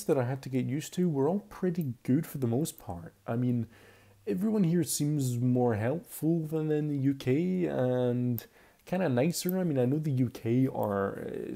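A man's voice: fairly high pitch, gravelly, warm tone, husky. A twenty-year-old man with a Scottish accent, giving a casual, chatty and candid voice-over commentary. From Scotland. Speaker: video commentator.

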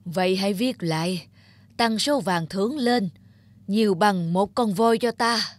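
A woman's voice: mid-range voice, a little slow, a little hiss or hum, lightly acted. A woman voicing an ailing, demanding middle-aged cartoon king with an imperious manner. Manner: imperious, commanding. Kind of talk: cartoon voice acting.

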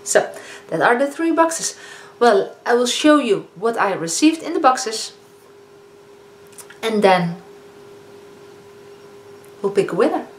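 A Dutch-accented woman in her fifties talks through beauty products in a relaxed, chatty way, catching and fixing her own slips. Her voice sits fairly high for a woman. The room is echoey, with a faint hiss underneath. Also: slow pace, long pauses. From Netherlands. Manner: chatty, self-correcting, hesitant fillers. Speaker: beauty vlogger. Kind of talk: casual product review. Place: living room, indoors. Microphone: camera in front of a seated speaker.

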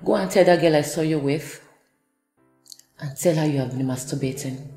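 A woman's voice: low-pitched, moderate pace, mellow. An actress playing a hurt woman who coldly accuses her cheating partner. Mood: bitter. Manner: cold, accusing. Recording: echoey room with faint music.

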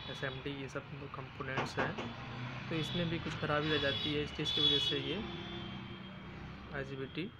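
A man's voice: mid-range voice, noisy background. A man hosting an electronics repair channel, explaining a welding machine's circuit boards step by step while filming on a phone in one hand in a workshop. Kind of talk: hands-on repair tutorial. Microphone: phone held in one hand.

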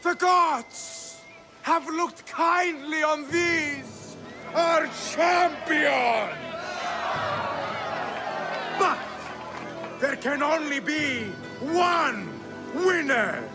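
High, bombastic games announcer. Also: male voice, heavily acted. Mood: grand, exultant. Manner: bombastic, drawn-out delivery. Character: grand ceremonial games announcer proclaiming champions to crowds. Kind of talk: loud ceremonial proclamation. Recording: loud music and crowd behind. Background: music and cheering crowd.

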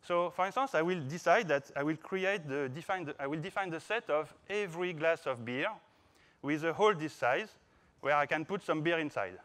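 Playful Frenchman speaking on stage, higher-pitched voice. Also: moderate pace, a bit bright. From France. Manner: playful, informal, calls out to the audience. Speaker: conference speaker, software developer. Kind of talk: conference talk to an audience. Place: large conference hall stage, indoors.

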